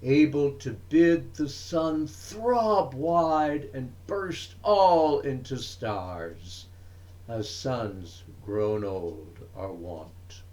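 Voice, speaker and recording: A man giving a dramatic recitation of poetry, with deliberate, emphatic phrasing and frequent pauses. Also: medium pitch, expressive, a little mellow. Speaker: reader of poetry.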